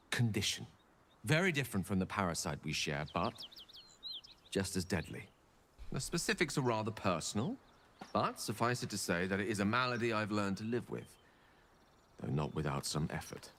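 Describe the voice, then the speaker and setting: A man's voice: fairly low pitch, a bit bright, a little hiss or hum. An actor playing a courteous, secretive male wizard, speaking in a refined, theatrical way.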